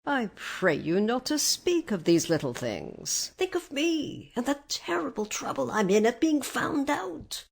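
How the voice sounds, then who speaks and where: A woman plays a timid little old man from a storybook, a humbug wizard. The voice is husky and trembling, hushed and apologetic, rising and falling as it pleads. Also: high-pitched, very animated, unhurried, few pauses, pitched above the performer's own voice, exaggerated voice. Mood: sheepish.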